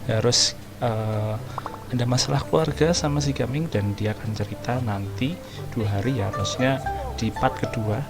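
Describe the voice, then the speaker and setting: A man's voice: mid-range voice, creaky. A man with an Indonesian accent giving casual, informal gameplay commentary as a video host, mixing in English phrases. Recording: loud game music behind. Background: video game music.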